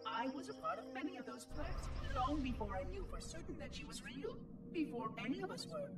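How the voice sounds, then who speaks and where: A fussy machine monitor from a video game, brooding with formal precision. The voice is high and wildly animated in pitch. It echoes over loud music. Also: male voice, brisk, full character voice. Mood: wistful, brooding. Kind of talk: scripted game cutscene monologue. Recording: echoing, distant, loud music behind.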